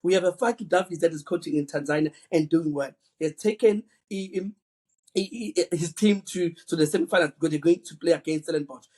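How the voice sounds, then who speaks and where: Man, earnest podcast co-host, higher pitch and a husky tone. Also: brisk, darkish tone. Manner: earnest, repeats words while thinking. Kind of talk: casual football chat.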